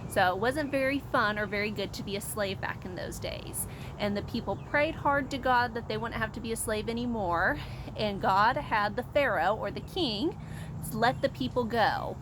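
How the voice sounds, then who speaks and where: An American woman leading a children's Bible story time, speaking to young listeners in an animated way with simple explanations and questions. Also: a little high, moderate pace, noisy background.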